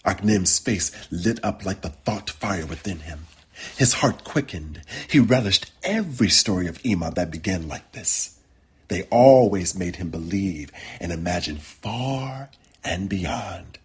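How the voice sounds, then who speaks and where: Very husky, slow male storyteller. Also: low voice, expressive. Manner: deliberate, dramatic phrasing. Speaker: author reading his own short story. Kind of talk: literary storytelling narration.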